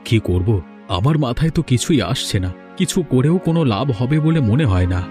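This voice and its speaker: A man voicing a grumbling village man in a cartoon fairy tale, speaking in deliberate dialogue. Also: mid-range voice, expressive, music in the background, lightly acted. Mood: weary, resigned. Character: male village thief and weary elder brother, grumbling.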